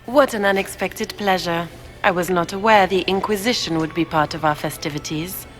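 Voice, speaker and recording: An actress playing a cool, haughty grand duchess with icy formality in a fantasy video game. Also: female voice, lowish voice, normal speed, soft background music, close to an everyday voice. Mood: cool, veiled.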